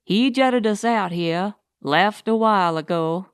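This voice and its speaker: Woman reading as a chatty fat crook, clear-voiced. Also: mid-range voice, unhurried, no echo, clean studio sound, lightly acted. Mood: matter-of-fact. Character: fat, talkative, whiny male crook, resigned. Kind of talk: audiobook character voice.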